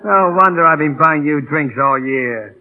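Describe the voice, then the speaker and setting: An actor playing a tough, brusque, gruffly affectionate mail pilot boss in a 1930s radio drama. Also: male voice, on the high side, normal speed, close to an everyday voice. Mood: amused, teasing. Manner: curt, teasing, clipped. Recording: muffled narrow-band vintage radio broadcast, husky sound.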